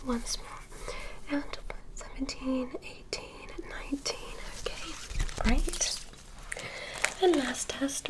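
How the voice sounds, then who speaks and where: A woman doing a whispered ASMR roleplay as an alien examiner, counting slowly and carefully with a playful, teasing bedside manner. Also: fairly high pitch, rough recording. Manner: soft unvoiced whisper, slow careful counting. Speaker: ASMR creator.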